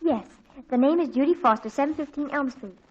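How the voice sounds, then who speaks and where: Actress as an eager teen girl, high and bubbly, earnest; dull old radio sound. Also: brisk, lightly acted. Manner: earnest, excitable, wheedling. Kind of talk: 1940s radio acting.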